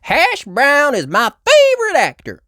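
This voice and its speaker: A woman's voice: sits high, wildly swooping pitch, measured pace, fairly bright tone, no room sound, clean studio sound, pitched above the performer's own voice, heavily acted. A woman voicing a friendly, chirpy female rabbit in a children's storybook, helpful and full of exclamation.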